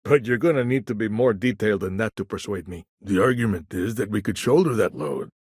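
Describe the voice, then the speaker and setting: An actor playing a shrewd, courteous business negotiator in a video game, with a gravelly voice and a wry, conversational manner. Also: male voice, on the low side, a little fast, darkish tone, dry recording, studio quality. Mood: intrigued, measured. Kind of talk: video game dialogue lines.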